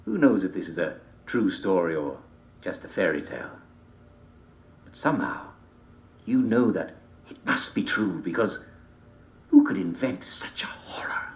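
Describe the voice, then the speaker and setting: Theatrical male storyteller, widely swinging pitch. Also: very animated. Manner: theatrical, deliberate, with repeated phrases and dramatic pauses. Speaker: storyteller reading a folk tale. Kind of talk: dramatic fairy tale storytelling. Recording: dull, roomy, echoing home recording.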